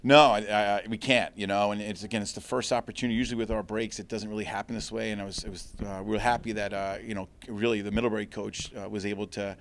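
A man's voice: on the low side, little pitch movement, fast, hardly any pauses, a bit bright. A middle-aged man, a head coach, giving an earnest postgame interview with many restarts, speaking into a handheld mic in front of a backdrop. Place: indoors before a sponsor backdrop. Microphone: handheld mic held by interviewer.

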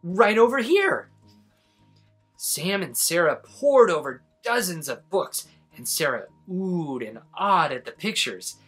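A man in his forties reading a children's story aloud as an expressive narrator. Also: fairly high pitch, measured pace, few pauses, husky, faint music behind. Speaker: storybook reader, host.

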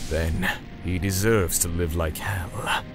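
A man playing a cold, righteous torturer for hire who narrates a manga dub in a measured, grim way. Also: deep voice, steady tone, over music. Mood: menacing.

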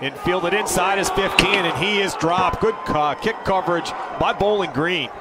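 A man calling a college football game play by play, brisk and rising with excitement on big plays. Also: high-pitched. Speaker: play-by-play announcer. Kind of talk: live football play-by-play commentary. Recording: broadcast with loud crowd and noise behind.

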